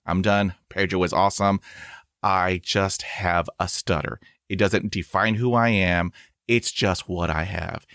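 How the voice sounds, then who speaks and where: A man in his forties with a stutter talks in a lowish voice, repeating sounds and leaning on fillers as he hosts a casual podcast conversation. He is emphatic and upbeat about himself. The sound is dry and close, with no room around it. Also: steady tone, a little slow, a bit bright, no echo. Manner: emphatic, self-affirming. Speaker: podcast host. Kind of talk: casual interview chat.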